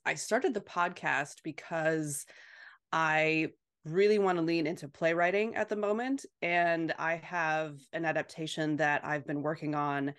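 A woman answering as a podcast guest in casual, reflective chat, halting and then quickening. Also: deep voice, fairly bright tone.

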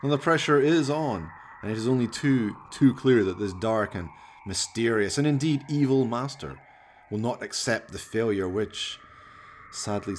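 A man's voice: fairly low pitch, slight background noise. A man narrating a comic horror radio play as scripted storytelling, in a melodramatic, mock-suspenseful manner.

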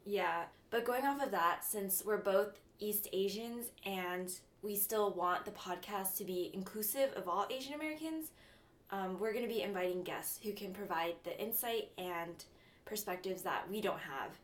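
A woman's voice: medium pitch, moderate pace. A young woman from Southern California co-hosting a casual college radio podcast. Her talk is chatty and self-mocking, full of giggly asides and dry little jokes. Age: young adult. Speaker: podcast co-host.

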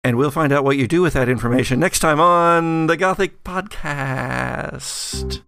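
A man's voice: a little high, measured pace, vocal fry, a bit bright. A man narrating as game master of a horror tabletop role-playing game.

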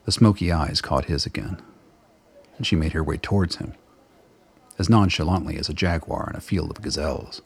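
A man with a husky, gravelly voice narrating a scripted radio drama as a storyteller. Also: rumbling low, normal speed.

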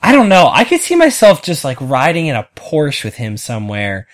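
A woman's voice: low-pitched, normal speed. A woman rambling eagerly in a casual podcast chat, her voice rising and falling constantly. She gushes and trails off, then picks up again. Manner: gushing, rambling, animated. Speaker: podcast co-host.

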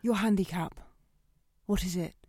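A woman's voice: medium pitch, unhurried, a little mellow, no room sound, clean studio sound, natural voice. An actress playing a composed, probing woman who quizzes and judges her date with pointed questions in a naturalistic audio drama.

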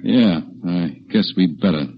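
A man's voice: sits low, a little slow. An actor playing an easygoing, mildly needling male guest in a 1940s radio drama. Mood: casual, taken aback. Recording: muffled, noisy old radio recording.

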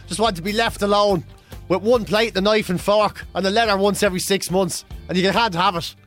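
Jokey, self-mocking Irish morning radio co-host; high, nasal and a touch lispy, faint music under him. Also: male voice, steady tone, brisk, fairly bright tone. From Ireland. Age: 40s. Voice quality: nasal, slightly lispy from a mouth ulcer. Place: radio studio. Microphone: studio mic.

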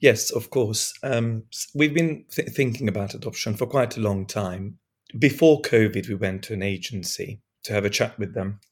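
A man from Europe, a guest on a podcast, speaking in a hesitant way with many restarts and fillers, his voice still hoarse from being ill. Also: fairly low pitch, normal speed. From Eastern Europe. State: hoarse, recovering from lost voice. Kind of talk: casual conversation.

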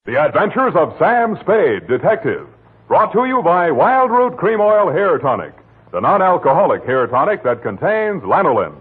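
A man's voice: huge pitch swings, moderate pace, breathy edge. A male radio announcer reading an old-time sponsor commercial in a showy salesman style. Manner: booming, showy salesman delivery. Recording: tinny old radio broadcast.

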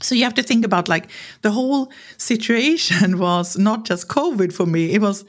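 A woman's voice: mid-range voice, moderate pace. A woman in her forties from Sweden, speaking English with a Swedish accent, trades stories as a podcast guest. She talks candidly and acts out quoted lines, with a voice that sits in a middle register. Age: 40s. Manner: animated, acts out quoted lines, laughs while talking. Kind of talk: candid conversational storytelling.

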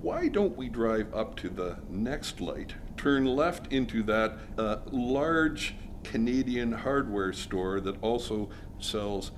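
A man's voice: mid-range voice, expressive, slow, slight background noise. A man performing a scripted comic sketch, with a jokey but earnest delivery. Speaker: sketch writer and guest performer.

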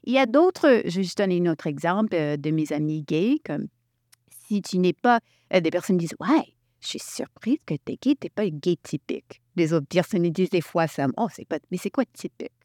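A woman from Quebec leading a workshop, talking in an animated way and restarting her sentences often. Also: lowish voice, normal speed, dry recording, clean studio sound. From Quebec, Canada. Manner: animated, thinks aloud with many restarts. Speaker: workshop facilitator. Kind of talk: workshop talk.

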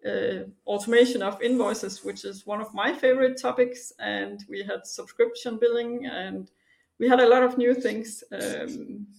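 A woman's voice: a little high, steady tone, unhurried, creaky, a little mellow. A middle-aged woman from Denmark, a finance specialist, talking in a casual interview with many fillers.

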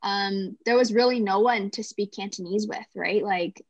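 A woman's voice: mid-range voice, moderate pace, dry recording. A young woman from Canada, chatting casually in an interview and often saying "like". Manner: chatty, lots of "like" fillers.

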